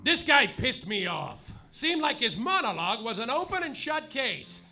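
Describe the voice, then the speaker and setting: A man narrating and acting a hardboiled detective in a live stage comedy, deadpan noir delivery with sudden yelled outbursts. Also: very high-pitched, normal speed, faint music behind. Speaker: lead performer in a live comedy play, as the detective.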